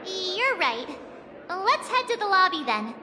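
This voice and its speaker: A woman's voice: very high-pitched, very animated, takes it slowly, sharp tone, slight background noise, full character voice. A woman voicing a young, cheerful, eager girl companion in a video game. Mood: curious.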